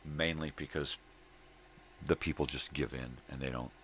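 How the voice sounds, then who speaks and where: A middle-aged man with a gravelly voice hosting a casual solo vlog, halting and repeating himself as he grumbles his opinions. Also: a bass voice, steady tone, fairly bright tone. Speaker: host of a daily morning video log. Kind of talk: rambling casual solo chat. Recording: noticeable background noise.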